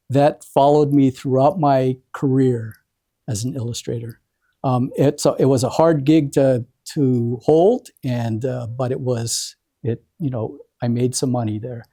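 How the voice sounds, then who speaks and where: An older American man giving an informal speech as an award presenter, speaking haltingly and often restarting his words. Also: mid-range voice, mellow. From Hawaii, United States. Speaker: artist presenting an award ceremony. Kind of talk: informal prepared speech to an audience.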